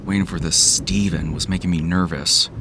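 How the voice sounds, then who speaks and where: A man's voice: low voice, steady tone, moderate pace, background noise. A man playing a jaded, cynical office worker who narrates an audio drama in a weary, sardonic, profane way. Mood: wary.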